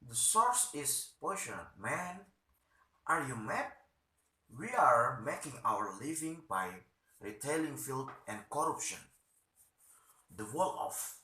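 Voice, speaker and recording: A man acts out a stubborn, indignant idealist doctor of middle age in a stage play. His delivery is defiant and halting, with a very sharp, forceful tone and lively swings in pitch. The room rings around him. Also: reverberant, far from the mic, exaggerated voice.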